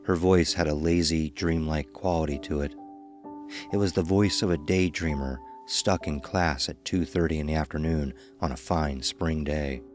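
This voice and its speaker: Low-pitched man narrating a horror story. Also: fairly even intonation, moderate pace. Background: faint music.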